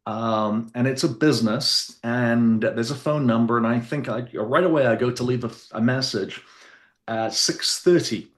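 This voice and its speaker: Man, interview guest, mid-pitch voice, earnest rambling storytelling, echoey room sound. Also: moderate pace. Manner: earnest, rambling, with fillers and restarts.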